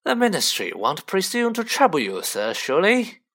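A man's voice: high-pitched, wildly swooping pitch, normal speed, fairly bright tone, pitched above the performer's own voice, full character voice. A man voicing an oily, servile and sly shopkeeper with an obsequious, deferential manner in a storybook reading. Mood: fawning. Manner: obsequious, eager to please, repeatedly deferential.

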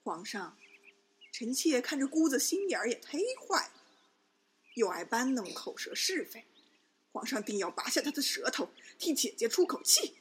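A woman's voice: fairly high pitch, sing-song, moderate pace, breathy edge, exaggerated voice. A woman playing a sly, protective palace concubine in a Chinese palace radio drama, coaxing and pointed. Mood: indignant, vindictive.

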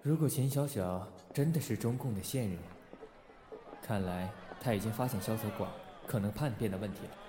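A man playing a controlled, calculating undercover agent in a radio drama, measured and guarded. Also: fairly low pitch, noisy background, close to an everyday voice. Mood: brooding. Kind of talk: Chinese radio drama acting.

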